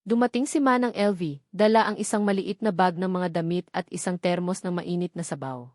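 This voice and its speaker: A woman storyteller giving a solemn, deliberate, scripted narration of a dramatic love story. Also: lowish voice, darkish tone, dry recording, studio quality.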